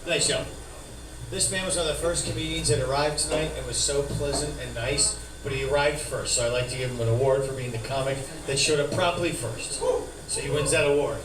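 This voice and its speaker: A man's voice: on the high side, quick pace, crowd noise. A man hosting a live comedy show, announcing comedians in a chatty, scattered way. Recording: echoey, noisy room, far from mic.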